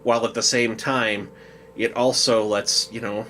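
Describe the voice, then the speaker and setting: A man's voice: on the low side, flat delivery, a little slow, a bit bright, soft background music. A man co-hosting a casual discussion podcast, talking in a hesitant way as he thinks aloud. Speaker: podcast co-host. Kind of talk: casual discussion, at times reading out a quote.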